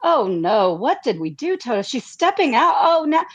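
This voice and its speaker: A woman playing an anxious, earnest farm girl in a comedic radio play, speaking in breathless, halting, repeated phrases. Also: sits high, very animated, dull audio. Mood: bewildered.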